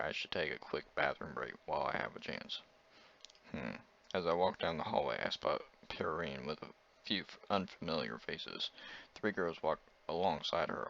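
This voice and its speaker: Deep gravelly guy doing a lets play, reads visual novel lines n chats casually, kinda dull sounding audio. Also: bright, forceful tone. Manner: stumbles over words while reading. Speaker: let's player reading and reacting to a visual novel demo. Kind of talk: reading game text aloud with casual asides.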